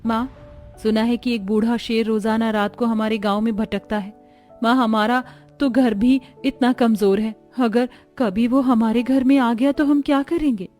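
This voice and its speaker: A woman's voice: a little high, fairly even intonation, normal speed, a little mellow, close to an everyday voice. A woman voicing a worried child of a poor village family in a cartoon story, anxious and plaintive. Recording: music behind voice.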